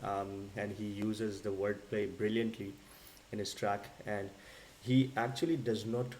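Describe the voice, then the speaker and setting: A man co-hosting a song reaction, agreeing warmly and often with his partner before giving his own view. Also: lowish voice, level tone, unhurried, a little hiss or hum, rough recording. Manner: agreeable, frequent affirmations. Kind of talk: casual reaction chat.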